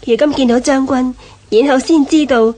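A captive empress in a historical radio drama. Her voice is high, and she speaks formally, coy and ingratiating, full of submissive flattery. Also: moderate pace.

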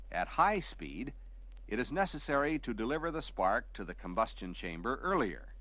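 Man narrating training film, lively pitch. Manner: measured, didactic, explains step by step. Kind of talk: scripted technical narration, vintage training film.

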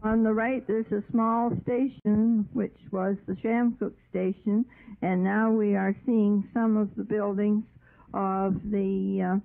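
A woman's voice: mid-range voice, little pitch movement, slow pace, vocal fry. A woman giving unscripted descriptive commentary on old film, explaining what is shown, on a narrow, old radio-like recording.

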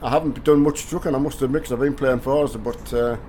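Older man, hoarse with a cold. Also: medium pitch, fairly even intonation, quick pace, mellow, slight background noise. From north of England. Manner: chatty, greets viewers by name. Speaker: game streamer. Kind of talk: casual live-stream chat. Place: home gaming room with driving rig. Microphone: headset.

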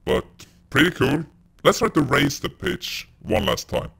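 A man casually demoing a real-time voice changer that turns his voice dark and robotic. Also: big pitch swings, measured pace, vocal fry, slight background noise. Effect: real-time pitch-lowering robotic voice changer.

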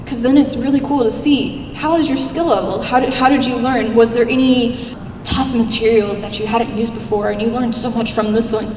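A young woman with a squeaky little voice giving an upbeat, chatty convention panel talk, speaking into a handheld mic in a large hall. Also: steady tone, moderate pace, background noise. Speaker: cosplay contest judge giving a convention panel. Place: large convention hall, seated at a stage table. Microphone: handheld mic, filmed by a far camera. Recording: echoey hall, recorded from far off.

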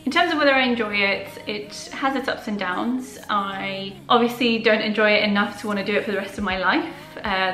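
A woman's voice: mid-range voice, pushed and bright, roomy sound, rough recording. A young woman whose first language is Polish, answering viewer questions in a casual, open vlog from her living room. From Poland. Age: young adult. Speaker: personal finance YouTuber. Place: living room at home, indoors.

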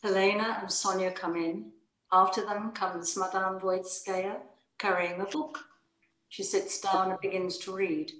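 A woman narrates stage directions from a script. Her voice sits at an average pitch, and the video-call recording has clear room echo. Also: flat delivery, unhurried, fairly bright tone. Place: room at home.

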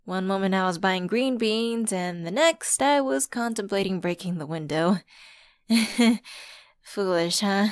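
A voice actress plays a flustered but friendly woman in an audio roleplay. She talks at a slow pace with a chatty, hesitant manner, at a middle pitch. The sound is dry, with no room echo. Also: close to an everyday voice. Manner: hesitant, chatty, expressive with sighs and giggles. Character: flustered, friendly woman locked out of her car at Christmas. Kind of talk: ASMR roleplay acting, one-sided conversation.

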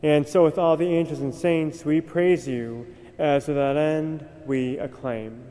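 A young man, a priest, reciting the prayers of Mass at the altar in a measured, solemn way. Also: fairly high pitch, steady tone, dark tone. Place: large cathedral, at the altar. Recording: long cathedral reverb.

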